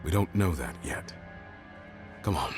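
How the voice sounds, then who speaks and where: An actor playing a terse, steady male wandering hero in video game dialogue. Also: very deep voice, measured pace. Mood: guarded. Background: game music.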